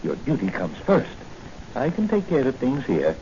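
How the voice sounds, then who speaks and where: An actor playing a shrewd, avuncular doctor and mentor, deliberate and expository, in a 1940s radio drama. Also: male voice, medium pitch, huge pitch swings, dark tone. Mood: reassuring, defiant. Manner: hesitant 'uh' openings, deliberate, expository. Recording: muffled, noisy 1940 radio recording.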